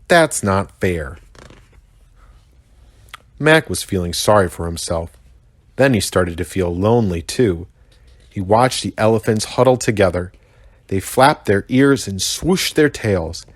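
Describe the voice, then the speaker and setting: An American man, a pastor, reading a children's story aloud with expressive narration. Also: lowish voice, moderate pace, no echo, clean studio sound.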